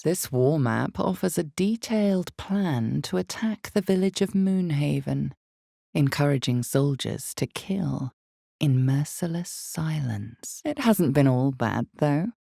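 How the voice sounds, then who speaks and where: A woman voicing an ominous, all-knowing narrator of a dark fantasy video game, speaking in slow, deliberate, dramatic storytelling with a creaky low voice. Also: no room sound, studio quality, heavily acted. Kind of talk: scripted second-person dark fantasy narration.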